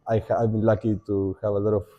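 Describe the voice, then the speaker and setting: A young man with an Argentine Spanish accent, Spanish as his first language, talking as a podcast guest in a thoughtful way, pausing to think and often restarting his sentences. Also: lowish voice, level tone, lo-fi sound. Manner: reflective, thinking aloud with many fillers and restarts. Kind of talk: casual podcast conversation.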